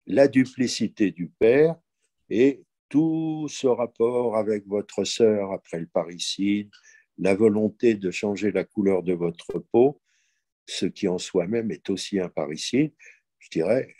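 A man in his seventies speaking reflectively and haltingly as he leads an online discussion, pausing often to think. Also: lowish voice, creaky, mellow, husky, dry recording. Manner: hesitant, halting, thoughtful. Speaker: discussion host, psychoanalyst. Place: indoor room at home, on a video call.

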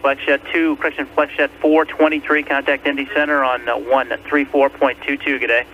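A male air traffic controller rattles off clipped instructions in a flat, mid-pitched voice. He talks quickly over a muffled radio recording with some background noise.

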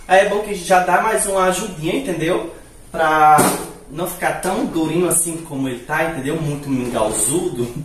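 A young man from northeastern Brazil with a Recife-like accent and hissing s sounds, chatting playfully while cooking in his home kitchen. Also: fairly high pitch. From Natal, northeastern Brazil. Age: young adult. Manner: chatty, playful, breaks into giggles. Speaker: vlogger. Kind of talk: casual cooking vlog chat. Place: tiled home kitchen, indoors. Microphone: camera several feet away. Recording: echoey tiled kitchen, distant mic.

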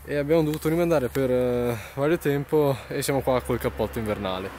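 A man's voice: medium pitch, darkish tone. A young man by a canal, talking casually as he fishes and coaches his friend, boasting a little. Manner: coaching and boastful, quick directions. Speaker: fishing vlogger. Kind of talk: casual outdoor fishing chat. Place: outdoors by a rural canal. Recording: outdoor camera audio with clear background noise.

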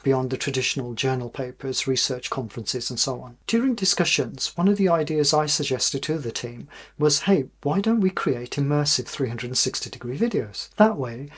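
A middle-aged man with a British accent presents research in a measured way. His voice is mid-pitched with a husky grain, and he explains each point as a lecturer would. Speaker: university professor presenting research.

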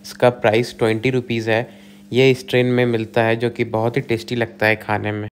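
A young man, casually narrating a train travel vlog in a chatty, steady way. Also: medium pitch, brisk, clear, resonant tone. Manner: chatty, steady, plain delivery. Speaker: train vlogger. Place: inside a train and rooms. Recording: loud train and vehicle noise.

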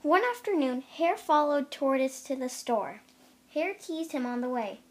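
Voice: high-pitched, animated, a little slow. A young reader reading a children's picture book aloud, carefully, with the occasional stumble. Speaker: storybook reader.

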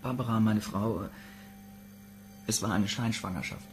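A man's voice: fairly low pitch, unhurried, lightly acted. An earnest, saddened husband, played by a man in a radio crime drama. Faint music sits behind his voice. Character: earnest, sad childless husband. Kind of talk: German radio play acting.

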